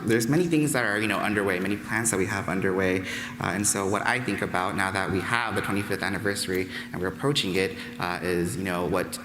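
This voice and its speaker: A man interpreting on a panel, measured, with frequent fillers. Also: low voice. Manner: measured with many "you know" fillers. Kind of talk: live interpretation. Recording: echoey venue with crowd noise.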